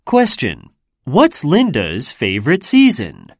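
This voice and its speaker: Woman reading out a listening drill slow and careful, lowish voice, super lively pitch. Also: no room sound, studio quality. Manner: slow, deliberate reading of words for repetition. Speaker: lesson narrator. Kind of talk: scripted textbook listening drill.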